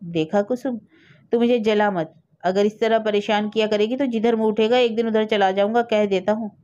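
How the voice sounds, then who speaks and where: A woman's voice: mid-range voice, expressive, moderate pace, warm tone, muffled recording, close to an everyday voice. A woman voicing a blustering, easily cowed elder brother in a village story, indignant and boastful.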